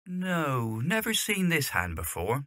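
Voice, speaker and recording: Middle-aged male postmaster, played by a man: brisk, bright, fairly high, dry recording. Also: wildly swooping pitch, no echo, full character voice. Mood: businesslike. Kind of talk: audio drama dialogue.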